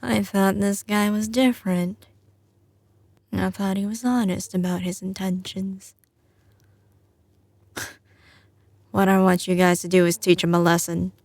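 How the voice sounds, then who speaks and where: A woman's voice: mid-range voice, flat delivery, a little slow, long pauses, darkish tone, no echo. A woman with a slight Southern US drawl playing a doting but ruthless mafia boss girlfriend in an intimate ASMR roleplay. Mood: cold, commanding. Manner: drawling, teasing, sliding between commanding and tender. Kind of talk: ASMR audio roleplay, intimate scripted acting.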